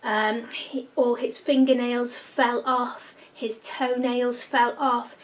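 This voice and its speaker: A woman's voice: fairly high pitch, little pitch movement, slow pace, recorded from a distance. A woman, chatting in a rambling vlog full of ums. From North Wales. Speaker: vlogger. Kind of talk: casual personal Q&A vlog.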